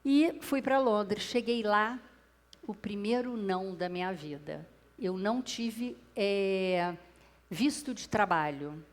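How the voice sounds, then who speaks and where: A Brazilian woman speaks on stage through a clip-on mic, in a Brazilian Portuguese accent. She tells her story to a live audience and often turns to them directly. Her voice sits at a middle pitch for a woman. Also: slow pace. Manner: lively storyteller who addresses the audience directly. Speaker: speaker at a public talk. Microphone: clip-on microphone.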